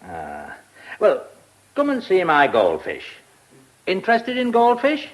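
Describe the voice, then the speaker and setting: Eccentric British press baron, lively pitch. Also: male voice, slow pace, full character voice. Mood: vague, distracted. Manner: hesitant mumbling, clipped phrases. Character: eccentric, brusque lordly press baron obsessed with goldfish. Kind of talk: radio drama acting.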